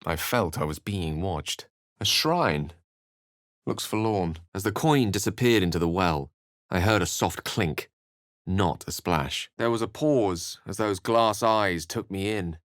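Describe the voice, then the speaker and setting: A learned, refined wizard from a fantasy video game. His voice is low and closely recorded with a dry sound. He speaks eloquently and with wry polish, measuring out his phrases like a theatrical storyteller. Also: unhurried, dry recording. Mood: narrating, hushed.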